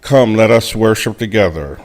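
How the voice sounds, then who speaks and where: A man co-leading a church service, reading the call to worship and chalice lighting in a measured, solemn way. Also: fairly low pitch. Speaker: service co-leader. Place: church sanctuary.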